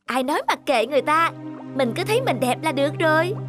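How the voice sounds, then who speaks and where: A woman's voice: sits high, animated, quick pace. A woman voicing a kind young goldfish in a cartoon, reassuring and upbeat. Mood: comforting. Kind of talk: cartoon voice acting. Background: music.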